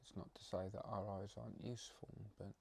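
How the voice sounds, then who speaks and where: Gravelly, monotone man guiding meditation. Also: fairly low pitch. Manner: slow, halting phrases with long pauses. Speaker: meditation guide. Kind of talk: guided meditation, spoken off the cuff.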